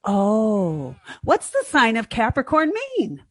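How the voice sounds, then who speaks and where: Woman playing flustered, high-voiced naive young roommate. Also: big pitch swings, slow, husky, no room sound, heavily acted. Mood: stalling. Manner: hesitant, flustered, stammering. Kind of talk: 1940s radio comedy acting.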